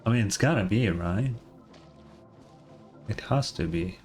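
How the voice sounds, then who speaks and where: A man's voice: lowish voice, unhurried, a little mellow, soft background music. A man doing casual live reaction commentary as a game streamer, puzzled and prone to swearing.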